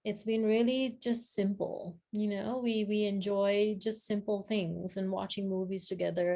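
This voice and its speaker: A woman's voice: medium pitch, darkish tone. A woman from Sri Lanka, a practice leader guest, speaking thoughtfully on a video call, searching for words and laughing easily. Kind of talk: reflective casual conversation.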